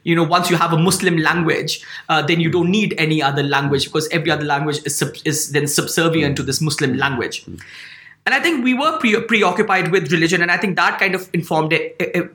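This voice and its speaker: Quick, bright-voiced man in an echoey room. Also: on the high side. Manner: explanatory, repeats words as he thinks. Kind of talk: conversational discussion.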